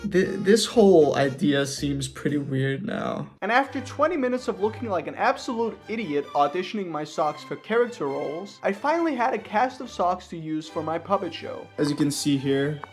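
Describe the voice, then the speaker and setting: A man with a slightly high voice talks through a vlog in a casual manner. He is self-deprecating as he narrates, with music faintly playing behind him. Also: very animated, moderate pace, few pauses. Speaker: streamer.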